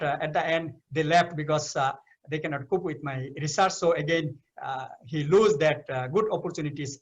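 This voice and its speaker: A man's voice: fairly high pitch, fairly bright tone. A middle-aged Bangladeshi man, a professor giving advice in a webinar, talking with many hesitant fillers and restarts. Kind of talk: advice talk in English.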